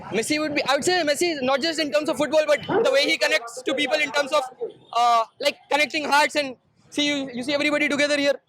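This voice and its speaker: A man from Hyderabad answering a reporter fluently in English, with relaxed fillers. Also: very high voice, fast. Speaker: interviewed fan. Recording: busy crowd behind. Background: crowd noise.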